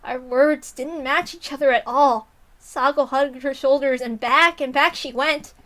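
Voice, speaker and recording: Bright, very high-voiced woman narrating. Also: measured pace. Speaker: visual novel reader. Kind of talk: dramatic scripted narration.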